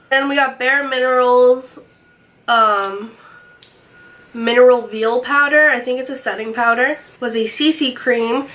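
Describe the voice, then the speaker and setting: A young woman vlogging from her bedroom, chatty and upbeat, her voice a little raspy from a cold. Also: sits high, sharp tone, slight background noise. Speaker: beauty vlogger. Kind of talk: casual unboxing chat. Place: bedroom, indoors.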